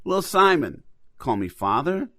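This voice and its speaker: A man's voice: fairly high pitch, sing-song, moderate pace, bright, forceful tone, no echo, clean studio sound. An actor playing a folksy, bantering trucker who is a domineering husband and father, in an audio drama. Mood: stern, skeptical.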